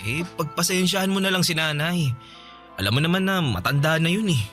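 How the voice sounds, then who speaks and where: A man's voice: on the high side, animated, brisk. A man playing a tired, apologetic young husband in a radio drama, hesitant and defensive. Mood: placating. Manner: weary, hesitant, placating. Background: soft background music.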